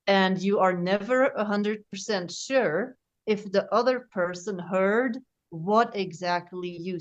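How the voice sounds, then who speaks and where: Woman, dull lo-fi sound. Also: medium pitch. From Belgium. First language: Flemish. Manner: thoughtful, explains in steady full sentences. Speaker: podcast host. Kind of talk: casual conversation.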